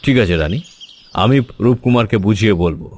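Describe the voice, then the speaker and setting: A man's voice: lowish voice, a little fast, darkish tone, close to an everyday voice. A man voicing a proud, indulgent king and father in a cartoon fairy tale, confident and reassuring. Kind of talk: dramatic cartoon voice acting. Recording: faint noise with chirping birds. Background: birdsong.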